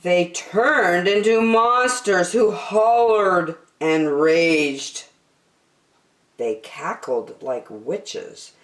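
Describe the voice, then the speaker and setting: A woman reading a rhyming picture book aloud as a storytime host, expressive and theatrical, addressing young children. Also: fairly low pitch, very slow. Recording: roomy, echoing sound.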